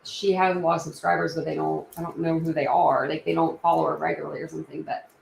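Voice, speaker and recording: Chatty woman with a gravelly edge. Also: deep voice, little pitch movement, fast, echoey room, a little hiss or hum, poor audio. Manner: rambling, chatty, many restarts and fillers. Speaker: YouTube true crime channel host. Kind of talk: casual livestream chat.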